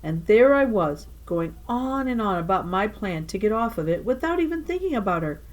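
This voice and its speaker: A woman reading a children's novel aloud like a teacher to her class, with animated storytelling and emphatic phrasing. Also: mid-range voice, normal speed. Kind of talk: expressive children's novel narration.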